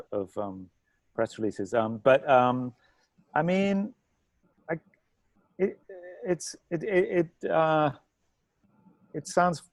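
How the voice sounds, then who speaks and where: Man from england, mid-pitched voice, dry close sound, halting and soft, interview guest. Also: no echo. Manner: halting, many restarts and fillers, soft-spoken. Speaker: artist guest. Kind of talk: conversational interview answers.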